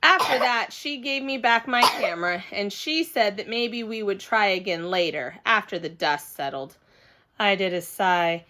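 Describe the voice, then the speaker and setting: A woman reading a children's book aloud in an expressive, animated storytelling style with dramatic emphasis. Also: medium pitch, unhurried, noisy background.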